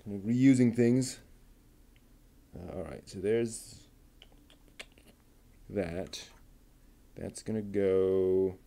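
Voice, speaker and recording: A man talking himself through assembling a model kit, muttering and pausing between steps. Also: deep voice, vocal fry, mellow. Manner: slow, halting, with many pauses. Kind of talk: casual build narration.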